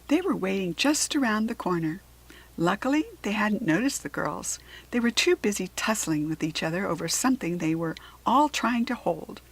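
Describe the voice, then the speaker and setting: Husky American woman reading a storybook. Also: medium pitch, low-quality recording. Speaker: storybook reader. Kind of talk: expressive children's storybook narration.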